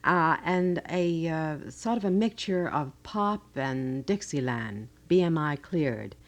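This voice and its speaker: A woman with a fairly low voice and an American accent co-hosts a live radio program. She reads and prompts in a polite, conversational way from the studio. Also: expressive, slow. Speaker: radio co-host. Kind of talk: live radio co-hosting, reading listener letters and asking questions.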